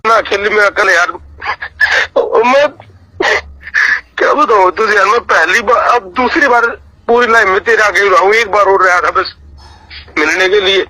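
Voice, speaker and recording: A man's voice: sits high, moderate pace, pauses often, sharp tone. A distressed, tearful man pleading and swearing oaths in an emotional private argument on a recorded phone call. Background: phone call audio.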